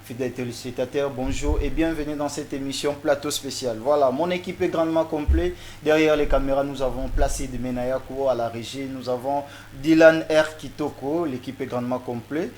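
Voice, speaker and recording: A man's voice: medium pitch, fairly even intonation, moderate pace, hardly any pauses, darkish tone, slight background noise. A man with a Congolese French accent hosting a talk show, formal in address but often hesitating and repeating words. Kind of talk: presenting and interviewing on a talk show.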